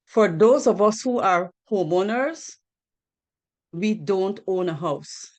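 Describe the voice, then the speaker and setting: A woman's voice: mid-range voice, expressive, takes it slowly. A woman from Guyana giving a financial literacy presentation on a group video call, earnest, asking rhetorical questions and repeating key points.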